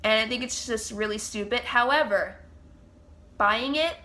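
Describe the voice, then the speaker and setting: A woman's voice: fairly high pitch, unhurried, sharp tone. A young woman with a congested, stuffy nose, chatting as host of her own Q&A vlog with gushing fan enthusiasm. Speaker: channel host. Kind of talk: casual Q&A vlog chat.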